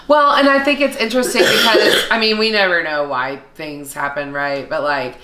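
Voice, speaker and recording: A woman's voice: on the low side, fairly even intonation, moderate pace, a loud crowd. A woman podcast co-host chatting casually, offering reflective comments in a conversational, explanatory way. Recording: roomy, echoey sound.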